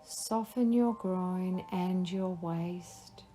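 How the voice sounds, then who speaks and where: Female meditation guide, very slow, mellow tone, soft music under. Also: fairly low pitch. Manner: slow, soothing, instructive. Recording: faint music behind the voice.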